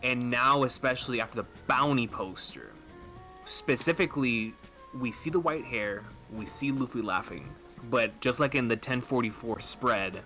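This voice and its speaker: A man talking casually and enthusiastically as a fan on a podcast, halting and rambling as he lays out his thoughts. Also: mid-range voice, pushed and bright, faint music behind. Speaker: podcast speaker discussing manga theories.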